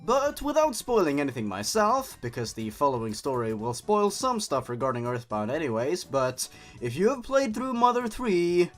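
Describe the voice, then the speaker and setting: A man with a slightly high voice that swings widely in pitch delivers scripted commentary and story readings. He is a non-native English speaker, expressive and given to sardonic asides. Music plays behind him. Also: normal speed. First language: not English. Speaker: host reading and reviewing horror stories. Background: background music.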